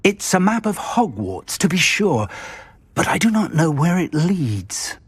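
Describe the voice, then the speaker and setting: Very husky old professor. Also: male voice, on the high side, sing-song, unhurried, heavily acted. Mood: intrigued, eager. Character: older male wizard professor, earnest and excitable.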